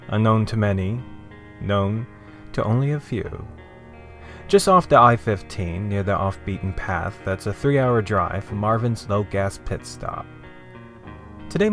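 Male narrator, lower register, theatrical showman delivery for dramatic storytelling, faint music underneath.

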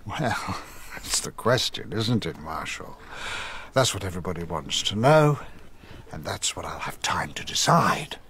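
Gravelly, cunning old king. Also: deep voice, very animated, measured pace, fairly bright tone, slight background noise, full character voice. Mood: scheming. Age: older. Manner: sardonic, commanding. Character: ageing, cunning, sardonic medieval king. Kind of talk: radio drama acting.

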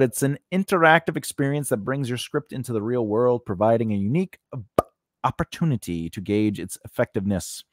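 Male tutorial host, 40s, mid pitch with animated swings, emphatic and informal, close dry studio mic. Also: dry recording. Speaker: host of a screenwriting tutorial channel. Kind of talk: informal instructional talk to camera, partly read from notes. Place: indoor home studio. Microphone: close studio condenser microphone on a mount.

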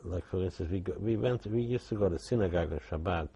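An older man, giving halting oral history testimony with many restarts. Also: low voice, mellow, breathy edge, highs cut off. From Poland. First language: Yiddish. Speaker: interviewee.

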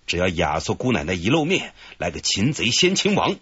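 An actor playing a cheerful, boastful detective leading a stakeout in a radio drama, with a husky voice. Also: male voice, deep voice, huge pitch swings, fairly quick, fairly bright tone, dry recording, dull audio, heavily acted. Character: cheerful, boastful middle-aged male police detective. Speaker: police detective leading the operation. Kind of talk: broadcast radio drama acting.

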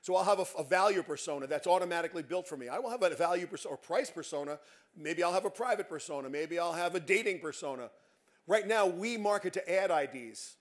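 A man's voice: high-pitched, quick pace. A middle-aged man giving a conference keynote on a large stage, speaking emphatically and making confident predictions.

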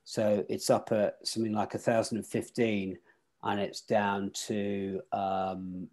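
A man's voice: fairly low pitch, level tone, measured pace, a little mellow. A middle-aged man hosting a casual club video call on weather and tides, thinking aloud with fillers and restarts. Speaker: host presenting weather and tides. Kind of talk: casual online club talk.

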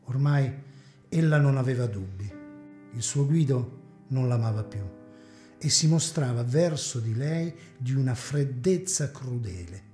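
Male narrator for a radio serial, mellow tone, echoey hall. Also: mid-range voice, unhurried, soft background music. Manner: expressive, theatrical reading. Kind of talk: dramatic serial novel narration.

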